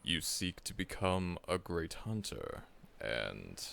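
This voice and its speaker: A man playing a gravelly veteran hunter. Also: low voice, very animated, slow pace, a little hiss or hum, full character voice. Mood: friendly. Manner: chuckling often, warm, teasing address to listener. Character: veteran male monster hunter, seasoned, friendly. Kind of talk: audio roleplay.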